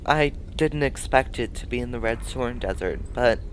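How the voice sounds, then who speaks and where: An actor playing a timid, deferential young research assistant in an audio drama, hesitant and politely inquisitive. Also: male voice, medium pitch, normal speed, a little hiss or hum, close to an everyday voice, rough recording.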